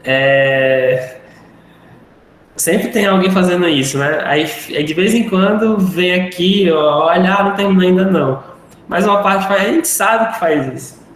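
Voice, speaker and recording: A man's voice: sits high, a little slow, fairly bright tone. A male teacher wrapping up a live online class in a chatty, rambling way. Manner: rambling, chatty, self-deprecating. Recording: very echoey room, faint noise.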